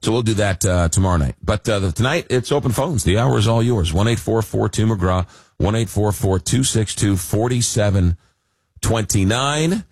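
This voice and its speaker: A man with a very deep, husky voice hosts a call-in radio show, a little hoarse from a cold. He rambles casually, full of "uh"s, with a dry sense of humor. The studio sound is close and dead, with no room echo. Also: moderate pace, darkish tone. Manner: rambling, many fillers, dry joking. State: fighting a cold, losing his voice. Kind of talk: casual late-night call-in radio talk.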